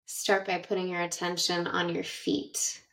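A woman guiding a meditation with gentle, measured instructions and long pauses, speaking in narration style. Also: lowish voice, completely flat delivery. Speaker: meditation guide. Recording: roomy echo, distant from mic.

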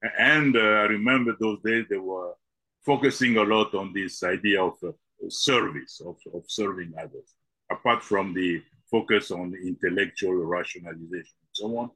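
A middle-aged man from the Congo, a podcast guest answering with many halting fillers and repeated words. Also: sits low, pushed and bright, breathy edge, dry recording, rough recording.

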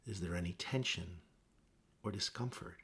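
A man gently leading a guided meditation with measured instructions and pauses. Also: sits low, slightly rough.

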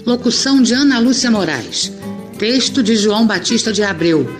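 A woman's voice: fairly high pitch, animated, moderate pace, over music. A woman with a Brazilian accent reading scripted storytelling narration for an audio drama.

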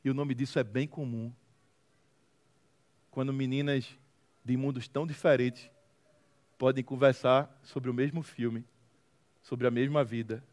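A man with a Brazilian accent giving a motivational testimony to an audience, telling stories dramatically with emphatic repetition and humor. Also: fairly high pitch. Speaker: guest speaker, social movement founder.

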